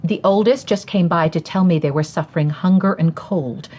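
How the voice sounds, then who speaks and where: A middle-aged actress playing a kind, steady mother in a radio drama, gentle, composed and guiding. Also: lowish voice, moderate pace, muffled recording, close to an everyday voice. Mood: earnest.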